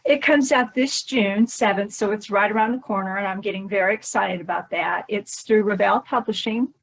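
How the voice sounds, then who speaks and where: A woman's voice: mid-range voice, normal speed, rough recording. A woman guest author talking conversationally, explaining the story of a book.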